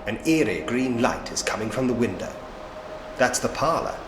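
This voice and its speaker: An actor playing an inquisitive, wryly humorous investigator in a Victorian ghost story adventure game, musing to himself theatrically. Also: male voice, medium pitch, very animated, moderate pace, noisy background, close to an everyday voice. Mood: musing, curious. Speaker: player character in a point-and-click adventure game. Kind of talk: scripted game voice acting, first-person observations. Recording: echoey hall reverb, music underneath.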